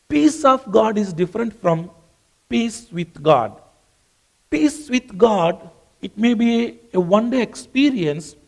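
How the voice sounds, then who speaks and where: A man's voice: high voice, a little mellow. A man whose first language is not English, preaching a sermon earnestly and repeating phrases for emphasis. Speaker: pastor.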